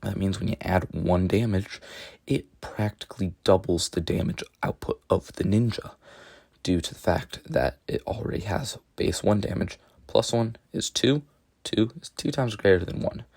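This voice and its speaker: A man with a rough voice narrating a video game strategy guide, explaining step by step in a casual, joking way. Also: low voice, moderate pace, darkish tone, no echo, studio quality. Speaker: gaming tutorial narrator. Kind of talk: gameplay commentary voice-over.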